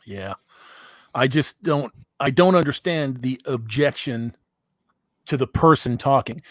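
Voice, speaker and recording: A man's voice: medium pitch, a little slow, dry recording. A middle-aged man hosting a casual call-in podcast, blunt and profane, laughing often. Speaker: podcast host.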